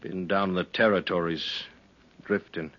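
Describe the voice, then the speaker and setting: An actor playing a weary, wistful drifter in a 1950s radio western, with a husky voice and folksy, halting, rough-hewn speech. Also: male voice, low-pitched, steady tone, close to an everyday voice. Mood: wistful, curious. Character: weary, wistful male drifter of the old West. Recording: muffled 1950s radio recording.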